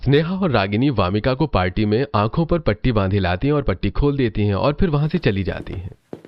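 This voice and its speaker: Low-pitched man narrating a cartoon story. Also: lively intonation, brisk, lo-fi sound.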